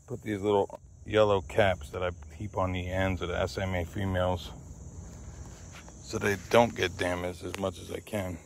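A man's voice: sits low, gravelly, slight background noise. A man chatting casually and rambling as he vlogs outdoors, trying out handheld radios with short test calls. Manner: rambling, talks to his dog. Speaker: vlogger testing handheld radios. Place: outdoors in a grassy field by woods.

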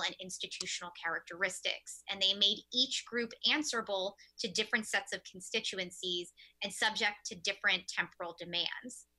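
A woman's voice: mid-range voice, pushed and bright, low-quality recording. A young woman giving a prepared keynote lecture to teachers from her office, earnest, with some fillers. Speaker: keynote speaker. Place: office with window blinds, indoors. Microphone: webcam at desk.

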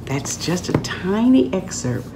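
An older woman reading a story aloud in an expressive, dramatic and deliberate storytelling manner. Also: lowish voice, background noise. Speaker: author reading aloud from own book. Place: room at home, indoors.

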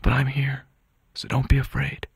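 A man's voice: lowish voice, brisk, a bit bright, no room sound, lightly acted. A man voicing an anxious yet resolute young son of a Mafia don, in an audiobook drama. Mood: hushed, protective.

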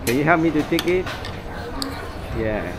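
A man's voice: on the high side, expressive, a little slow, noisy background. Chatty middle-aged man vlogging on the go. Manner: chatty, explains as he goes. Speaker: travel vlogger. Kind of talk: casual travel vlog commentary. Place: indoor food court, then train station and train.

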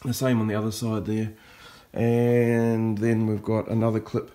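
A man's voice: fairly low pitch, completely flat delivery, a little slow, dark tone. A man in his fifties with a New Zealand accent, explaining things informally and unhurriedly in a casual fishing vlog. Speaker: fishing vlogger.

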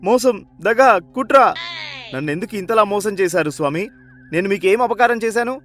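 A man voicing a greedy, gullible, anxious village moneylender in an animated moral story, in a whiny, pleading way. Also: high-pitched, moderate pace, soft background music. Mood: aggrieved.

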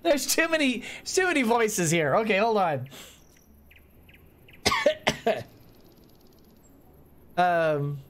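A man streaming a game from his home desk mic, laughing at himself as he fumbles through the lines. His voice is high and bouncy, and it swings a lot. He talks slowly and loosely. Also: big pitch swings, fairly bright tone. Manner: giggly, self-mocking, breaks into laughter. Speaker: let's-play streamer. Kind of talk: live-streamed game playthrough, reading the dialogue aloud.

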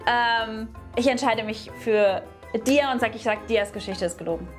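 A woman with a high voice plays a guessing game in casual, unscripted talk. She thinks out loud and hesitates between options. Music plays faintly behind her. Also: moderate pace. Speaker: game show contestant guessing the liar. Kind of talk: casual game show chat. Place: indoor studio set, seated at a table.

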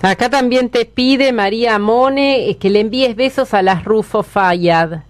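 A woman's voice: fairly high pitch, measured pace. A woman co-hosting a casual radio interview and passing on requests. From Argentina. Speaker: radio co-host.

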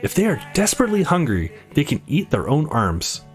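A man's voice: a little high, wildly swooping pitch, brisk, faint music behind. A man voicing a wistful, reflective young visual novel character who loves nature trivia. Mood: playful.

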